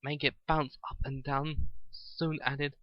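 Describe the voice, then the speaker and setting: A man's voice: on the high side, level tone, slow pace. A man narrating a story as an amateur reader, often stumbling and repeating his phrases. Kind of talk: dramatic reading of fan fiction. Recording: echoey, slightly muffled home recording.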